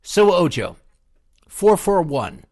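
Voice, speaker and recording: A man's voice: high voice, big pitch swings, slow, darkish tone, no echo, studio quality. A man hosting a music interview show, talking conversationally and introducing songs. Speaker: podcast host.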